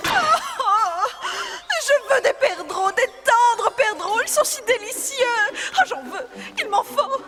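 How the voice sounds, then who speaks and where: Princesse capricieuse, voix suraiguë. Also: female voice, very high-pitched, very animated, slow pace, heavily acted. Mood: pleading. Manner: petulant, melodramatic. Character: spoiled, whiny, gushing young princess. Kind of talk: French cartoon dubbing. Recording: loud music behind the voice.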